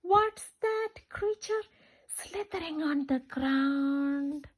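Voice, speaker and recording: Super high voiced lady doing kids story time, really slow, sing-songy and repetitive. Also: lively intonation. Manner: slow, repetitive, questioning delivery for young children. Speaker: children's storytime reader. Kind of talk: interactive sing-song read-aloud of a children's picture book.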